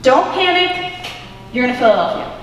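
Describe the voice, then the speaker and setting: A woman's voice: high voice, very animated, normal speed. A teenage student actress with an American accent playing a laid-back, unflappable young woman in a stage comedy. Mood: calm, knowing. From United States. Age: teens. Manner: laid-back, reassuring, glib. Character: breezy, unflappable young woman, cheerfully philosophical. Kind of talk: one-act stage comedy acting. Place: school auditorium stage. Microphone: distant camera microphone. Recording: echoey auditorium recorded from far off, hiss.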